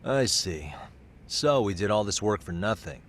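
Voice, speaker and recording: An actor playing a cool, terse investigator in a video game, talking in a matter-of-fact way. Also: male voice, fairly low pitch, animated, measured pace, fairly bright tone, a little hiss or hum. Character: cool, businesslike male investigator on the hunt. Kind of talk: naturalistic video game cutscene acting.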